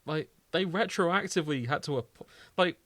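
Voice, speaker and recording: A man's voice: on the high side, normal speed, fairly bright tone, no echo. A man co-hosting a podcast in casual comedic chat, full of opinionated, mock-indignant banter. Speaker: podcast co-host.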